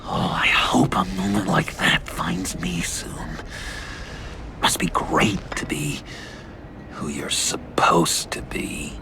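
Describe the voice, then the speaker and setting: An actor playing a lovesick, unhinged, childlike young man in a video game, halting and breathy in a theatrical delivery. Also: sits low, noisy background, heavily acted. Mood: brooding. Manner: halting, breathy, swooping between whispers and outbursts. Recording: music behind the voice.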